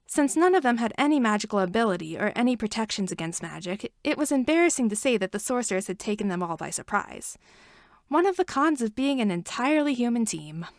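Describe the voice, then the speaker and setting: Female podfic reader, fairly high voice, dry close sound, expressive storyteller. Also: vocal fry, no room sound. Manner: expressive storytelling, shifting emphasis. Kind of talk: reading fan fiction aloud.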